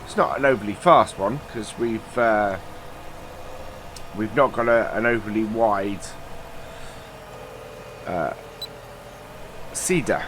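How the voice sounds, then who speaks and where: A man's voice: fairly low pitch, slow pace, long pauses, noisy background. A man with a nasal, stuffed-up voice from a cold, rambling through casual let's-play commentary with lots of fillers. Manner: chatty, rambling, many uh fillers. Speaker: gaming channel host.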